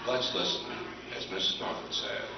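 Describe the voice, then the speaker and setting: A man's voice: normal speed, lightly acted. A man playing a grandiose radio soap opera announcer, pompous and dramatic in an old-time serial parody. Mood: portentous. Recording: echoey hall, distant, muffled, music behind.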